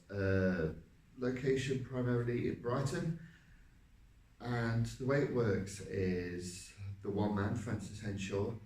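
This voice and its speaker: A man with a deep voice, a stage actor talking through a role, hesitant with many fillers. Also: darkish tone. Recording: roomy, from a distance.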